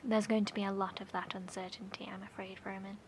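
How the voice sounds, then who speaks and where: A woman's voice: mid-range voice, level tone, quick pace, natural voice. Earnest woman survivor played by an actress, faint noise. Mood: hushed, sympathetic. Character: concerned, insistent woman survivor seeking answers. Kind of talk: horror audio drama acting.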